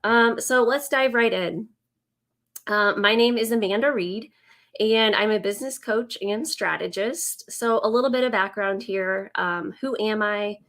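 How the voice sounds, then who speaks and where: A woman in her thirties, raspy from a summer cold, talking slowly and warmly to viewers on a live stream as a business coach, with many ums. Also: medium pitch. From Indiana, US. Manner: slow, friendly, many ums.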